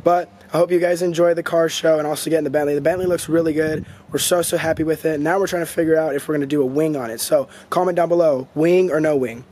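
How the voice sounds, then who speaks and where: Young guy with a sick raspy voice, kinda high, filming himself on a handheld cam, faint street noise behind. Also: fast talker, hardly any pauses. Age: young adult. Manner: excited, rambling, lots of fillers. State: hoarse from a cold. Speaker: vlogger. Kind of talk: casual vlog talk to camera. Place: outdoors by a house and inside an auto upholstery shop. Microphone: handheld camera at arm's length.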